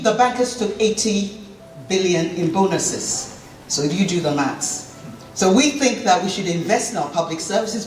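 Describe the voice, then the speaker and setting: A woman's voice: medium pitch, darkish tone. A middle-aged woman candidate giving an earnest, passionate campaign speech at a local debate. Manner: earnest, passionate, repeats herself. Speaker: election candidate. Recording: roomy hall, distant mic, faint noise.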